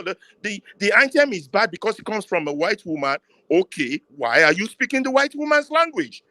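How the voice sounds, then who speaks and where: Male debater, high voice, emphatic and argumentative, dry sound. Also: bright, forceful tone, no room sound. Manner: combative, rhetorical questions, emphatic repetition.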